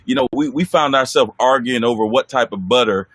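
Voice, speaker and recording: A man with a deep voice, chatting easily as host about marriage and laughing often. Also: brisk. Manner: relaxed, chuckling, talks of his deep voice. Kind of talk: casual conversation.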